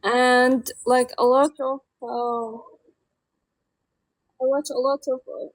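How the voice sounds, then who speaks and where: A woman in her twenties from Baghdad with an Iraqi Arabic accent, chatting as an interview guest in halting learner's English full of fillers. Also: sits high, level tone, low-quality recording. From Baghdad, Iraq. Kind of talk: casual interview chat.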